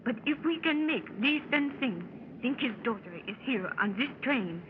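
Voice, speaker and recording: An actress playing a cool, daring secret agent in 1930s radio style, speaking in measured, fatalistic short sentences. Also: female voice, high voice, animated. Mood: calm, confiding. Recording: tinny, very noisy 1930s radio recording.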